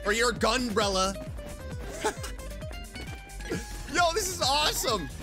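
A reaction streamer gushing over a game trailer with loud exclamations and frequent laughter. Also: mid-range voice, slow, music in the background. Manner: excitable, laughs often, exclaims loudly. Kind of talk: casual reaction commentary.

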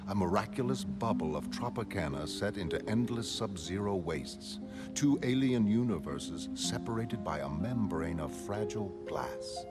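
A man performs a wealthy male visionary with a deep voice, utterly sure of his own brilliance. He speaks in measured, lofty, formal sentences, like a learned monologue from an animated comic. Music plays prominently behind him. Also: lightly acted. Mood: grandly pleased. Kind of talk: audiobook-like dramatic monologue in a motion comic. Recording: studio voice over prominent music.

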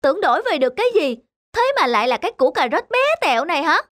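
A woman voicing a scornful, nosy female rabbit neighbour in an animated fairy tale, mocking and incredulous. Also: sits high, quick pace, no echo, studio quality. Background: music.

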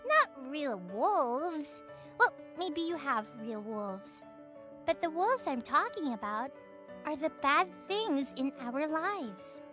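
A childlike voice telling a Bible lesson to young listeners in simple, expressive scripted speech, as the host of a children's show. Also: sits high, slow pace, soft background music. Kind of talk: animated scripted storytelling and teaching for children.

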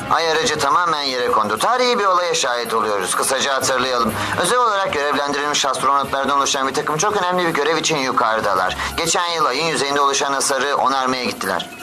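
A man's voice: medium pitch, expressive, fast, hardly any pauses, over music, close to an everyday voice, low-quality recording. A man voicing an earnest, urgent television news reporter in a cartoon dub, formal and dramatic. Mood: urgent reporting. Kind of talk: news commentary in animated series dub.

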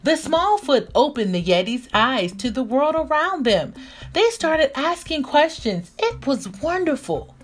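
Female children's read-aloud narrator: higher-pitched, expressive, unhurried, with music underneath. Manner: expressive, deliberate storytelling. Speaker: children's storybook reader.

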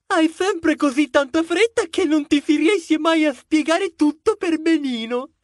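Woman playing a high-pitched cheeky mouse detective guy. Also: a little slow, darkish tone, no room sound, clean studio sound. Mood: indignant, bossy. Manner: teasing, exclamatory, scolding with mocking diminutives. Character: pushy, cheeky male mouse detective, bossy and lovestruck. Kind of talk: acted children's audio story dialogue.

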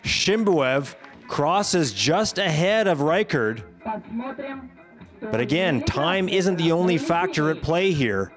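A man giving scripted highlights commentary on a sports competition as the commentator. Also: expressive, slow pace, music in the background.

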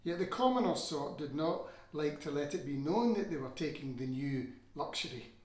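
Middle-aged Scottish male storyteller, high-pitched, expressive reading, roomy sound. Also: moderate pace. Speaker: reader of a novel excerpt. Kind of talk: expressive literary reading in Scots-flavoured English. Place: small furnished room indoors. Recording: roomy indoor echo.

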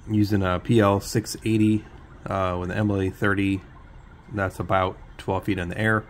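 A man giving low-key, tentative casual commentary as a radio hobbyist. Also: little pitch movement, moderate pace. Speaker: shortwave radio hobbyist narrating. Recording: loud radio static and hiss. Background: shortwave radio static.

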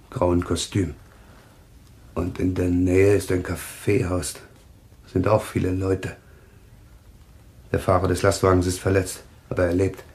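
An actor playing a composed, cryptic man with eerie visions of death in a German radio thriller, speaking deliberately. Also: sits low, gravelly, darkish tone. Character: calm, eerily taunting man claiming visions of deaths.

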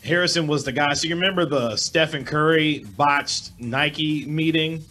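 A middle-aged man with a hoarse voice, a sports reporter giving measured analysis as a guest over a video call. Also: a little high, sharp tone, soft background music. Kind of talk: remote sports talk interview. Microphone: webcam with desk microphone, video call.

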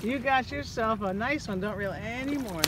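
Woman, excited cheering, short tips, far from the mic, boat wind noise. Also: on the high side, normal speed. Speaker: passenger on a fishing charter. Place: open fishing boat on the ocean, outdoors.

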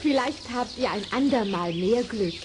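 A woman playing a good-hearted little witch in a children's fairy-tale radio play, speaking deliberately. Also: on the high side, lively intonation, noisy background, natural voice. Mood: curious, sympathetic. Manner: deliberate, chanting spells.

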